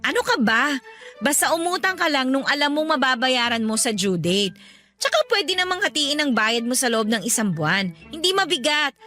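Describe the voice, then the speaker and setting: Bright, high-voiced shopaholic friend. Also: female voice, normal speed, faint music behind, exaggerated voice. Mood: persuasive. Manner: animated, coaxing, exclamatory. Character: lively shopaholic female friend, cheerfully persuasive. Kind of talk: radio drama dialogue.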